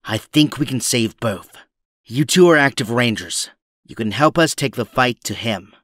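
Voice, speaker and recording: A man's voice: mid-range voice, animated, normal speed, fairly bright tone, dry recording, clean studio sound, natural voice. A man playing an older, weary but principled resistance captain in an audio drama, grave and commanding.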